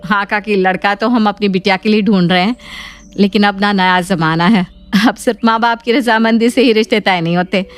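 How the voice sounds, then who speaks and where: A woman's voice: mid-range voice, fairly even intonation, fairly quick, clear, resonant tone, natural voice. A woman voicing a polite, reasonable middle-aged mother arranging a match in a cartoon family story. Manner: courteous, reasonable.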